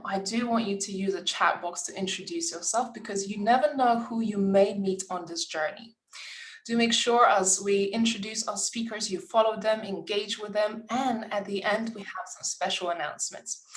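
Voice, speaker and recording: A woman's voice: mid-range voice, rough recording. A woman, hosting a summit and telling a personal story in an earnest, encouraging way. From Lithuania. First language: Lithuanian. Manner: earnest and encouraging, occasional restarts. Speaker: summit host. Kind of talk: inspirational welcome speech with personal story.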